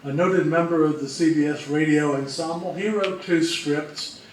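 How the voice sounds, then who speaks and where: A man with a slightly high voice gives an informal prepared presentation. He explains things in orderly lists. The sound is very roomy and a bit distant, with faint noise behind him. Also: steady tone, normal speed. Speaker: co-presenter of a history talk. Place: room presentation before an audience.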